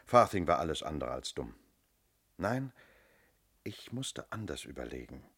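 Casting: police inspector, radio crime play; very deep bass, shrewd, methodical, sure of himself. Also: male voice, very animated, a little slow, fairly bright tone, slightly rough, heavily acted. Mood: certain, explanatory. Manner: methodical, firm, explanatory. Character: shrewd, self-assured male police inspector. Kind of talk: German radio crime drama acting.

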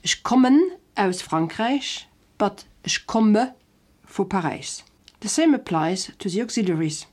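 A woman presents a scripted language lesson with a husky, breathy voice at medium pitch. She is didactic and takes her time, laying out each example carefully word by word. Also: expressive, slow pace, darkish tone. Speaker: language teacher.

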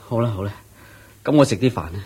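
沙哑谦卑嘅穷家男人. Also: male voice, mid-range voice, fast, darkish tone, husky. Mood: weary, wistful. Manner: deferential, hesitant, sighing. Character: humble, troubled poor layman, devoted and wistful. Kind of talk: Cantonese radio drama acting.

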